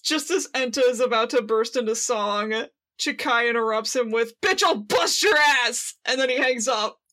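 A woman co-hosting a casual fan podcast, laughing as she talks and excitedly acting out the lines she quotes. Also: on the high side, normal speed, a little hiss or hum. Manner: giggly, laughs while talking. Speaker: podcast co-host.

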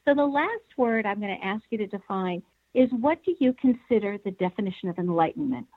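A woman hosting a radio talk show, asking her guest questions in a measured way. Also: mid-range voice, lively intonation, dry recording. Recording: dry, phone-like sound.

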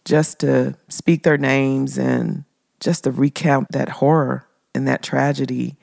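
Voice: medium pitch, flat delivery, takes it slowly, gravelly, a little mellow, no echo, studio quality. A man co-hosting a podcast, adding conversational commentary. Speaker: podcast co-host.

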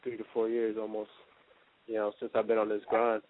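A man's voice: on the low side, monotone, a little fast, no room sound. A man in his early twenties from the Dominican Republic, a rapper chatting casually as an interview guest over a phone line. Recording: tinny, narrow phone line.

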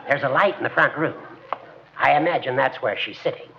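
An actor playing a dignified, self-satisfied judge in a 1940s radio comedy, formal and tactful. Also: male voice, mid-range voice, fairly quick, creaky, natural voice. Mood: cautious. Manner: formal, precise, genteel. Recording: muffled, faintly noisy 1940s radio.

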